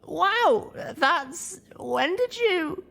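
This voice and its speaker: A man voicing a young female unicorn pony heroine, with high squeaky excited yelps. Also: drawn-out words, vocal fry, exaggerated voice.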